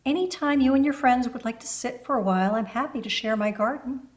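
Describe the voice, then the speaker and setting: A woman's voice: a little high, brisk, pitched above the performer's own voice, close to an everyday voice. A woman voicing a kind, encouraging older neighbor lady from a children's storybook, folksy and affectionate. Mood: warm, welcoming. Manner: encouraging, folksy, calls listener honey. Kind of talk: children's storybook read-aloud.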